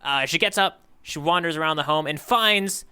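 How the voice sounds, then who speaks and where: A man's voice: on the high side, normal speed, bright, forceful tone, clean tone. A man co-hosting a podcast, recapping a manga chapter in casual chat, rambling with many fillers and easy laughs. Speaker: podcast co-host.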